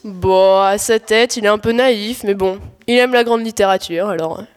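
A woman's voice: medium pitch, moderate pace, clear, resonant tone, close to an everyday voice. A young woman playing a bored, melodramatic wife who whines to her best friend in slangy comic audio fiction, with an exasperated drawl. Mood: dismissive. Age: teenager or young adult. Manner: whiny, exasperated, drawling complaints. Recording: echoey hall, live stage performance.

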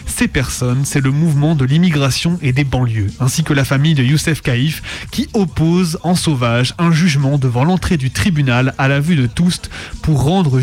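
A man reading scripted narration as a radio host. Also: a little high. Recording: music behind the voice. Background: music bed.

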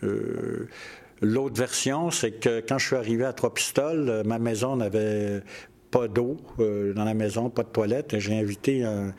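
An older man, a storyteller who talks in a relaxed interview and pauses often with many fillers. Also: on the low side. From Quebec, Canada. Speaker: storyteller and festival organizer.

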